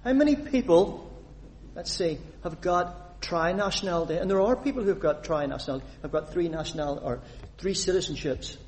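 A man from Northern Ireland preaching a sermon, putting questions to the congregation and reading scripture aloud in an animated way. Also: sits high, fairly quick, slight background noise. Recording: long hall reverb.

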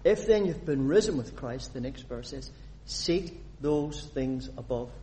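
A man from Northern Ireland preaching a sermon, putting questions to the congregation and reading scripture aloud in an animated way. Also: medium pitch, unhurried. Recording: long hall reverb.

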